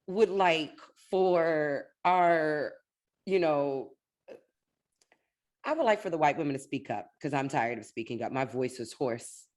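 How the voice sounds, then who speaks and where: A woman hosting a podcast with a low, deep-set voice. She is animated and quick to chime in with agreement, talking in short bursts as she works out her thoughts. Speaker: podcast host. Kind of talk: conversational podcast interview.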